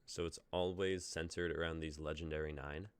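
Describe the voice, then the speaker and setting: A man's voice: a bass voice, steady tone, normal speed, clean tone, dry recording. A man reading the part of a curious young newcomer who asks hesitant questions.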